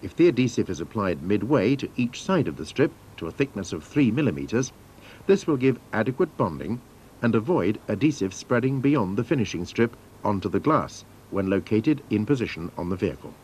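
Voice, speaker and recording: A man's voice: on the low side, very animated, brisk, a little mellow, slight background noise. A man narrating scripted instructions for a training film in a formal, methodical manner.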